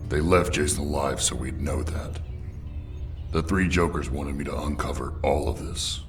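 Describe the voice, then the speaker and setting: Casting: male actor for a brooding middle-aged vigilante, extremely low voice, stern, set back from the mic. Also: recorded from a distance, music in the background. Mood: grim, measured. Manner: stern, deliberate. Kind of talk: comic dub voice acting.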